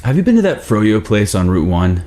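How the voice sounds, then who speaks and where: A man acts out an amiable, slightly awkward dentist on a date. His voice sits very low, and the delivery is conversational, like a live reading of a screen comedy. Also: very animated, moderate pace, dark tone. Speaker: actor in a table read.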